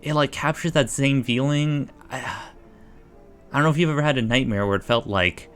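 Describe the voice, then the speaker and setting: A man's voice: mid-range voice, normal speed, a bit bright, clean tone, faint music behind. A man giving casual reaction commentary as a livestreamer, hesitant and rambling as he thinks aloud.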